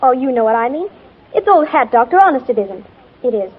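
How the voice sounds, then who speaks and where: An actress playing a headstrong, slangy fifteen-year-old tomboy in an earnest, gushing 1940s radio drama style. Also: female voice, sits high, very animated, brisk, slightly rough, narrow, tinny audio, full character voice. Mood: earnest, defiant.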